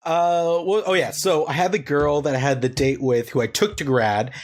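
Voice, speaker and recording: A young man with a slightly high voice, talking loosely and crudely. He rambles through long stories in casual podcast banter and laughs often. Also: fairly even intonation, normal speed. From Canada. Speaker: podcast host.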